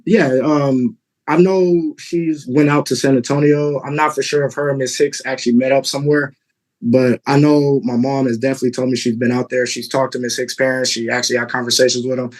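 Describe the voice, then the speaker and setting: A man in his thirties from Texas, answering a judge's questions in court politely and haltingly. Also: mid-range voice, little pitch movement, rough recording. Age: 30s. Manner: polite, halting, many fillers. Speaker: litigant father.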